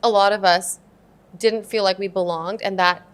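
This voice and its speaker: A woman's voice: medium pitch, steady tone, moderate pace, clear, resonant tone. A young woman from Pakistan, a podcast guest, talking in a reflective, casual way and switching between English and Hindi-Urdu. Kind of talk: casual interview chat.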